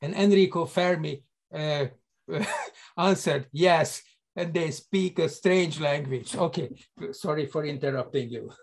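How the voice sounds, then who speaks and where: Chatty older man, slightly high voice. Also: measured pace, vocal fry. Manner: chatty, tells anecdotes with chuckles. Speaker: host. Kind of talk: video call conversation. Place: study lined with bookshelves. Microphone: video call webcam.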